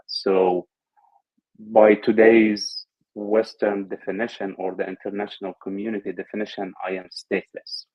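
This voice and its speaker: A man who grew up in Syria, a guest in an interview, speaking haltingly with many restarts and repeated words. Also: low-pitched. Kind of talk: conversational interview. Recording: dull lo-fi audio.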